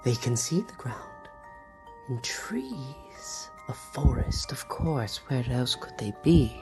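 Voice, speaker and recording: A man narrating a tabletop game scene as game master, slowly and theatrically, at times dropping to a whisper. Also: medium pitch, big pitch swings. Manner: slow, theatrical storytelling with hushed whispers. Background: background music.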